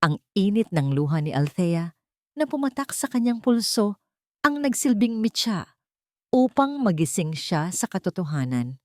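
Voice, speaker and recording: A woman narrating a scripted love story in a measured, solemn, dramatic manner. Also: mid-range voice, big pitch swings, no room sound, clean studio sound.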